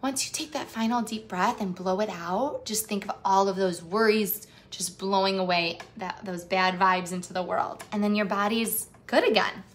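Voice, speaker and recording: A woman reading a picture book aloud to children and chatting with young viewers as their host, encouraging them with direct questions and expressive, drawn-out phrasing. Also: medium pitch, a little hiss or hum.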